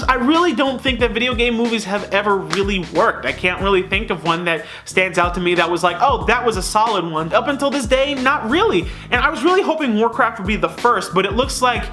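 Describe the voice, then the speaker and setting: High-pitched, bright-voiced man reviewing films. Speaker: film reviewer and host. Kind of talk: casual opinionated video review to camera.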